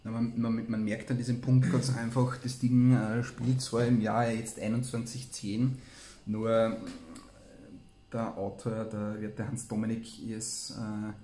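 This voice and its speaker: A man's voice: lowish voice, little pitch movement, unhurried, warm tone, a little hiss or hum. A man, hosting, reading aloud and commenting casually. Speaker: host. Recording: roomy, echoey room sound.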